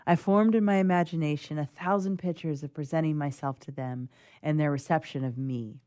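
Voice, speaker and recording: A performer reading as Frankenstein's monster, a gentle, hopeful creature, in a formal, lyrical literary style. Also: mid-range voice, no room sound, natural voice. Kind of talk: dramatic reading of classic novel.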